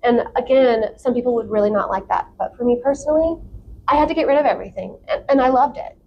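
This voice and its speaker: A woman with a fairly high voice chats casually as an interview guest. She is candid and expressive, with a giggly streak. Some noise sits behind her. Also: fast, muffled recording. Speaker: podcast guest. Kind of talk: casual chat. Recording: clear background noise.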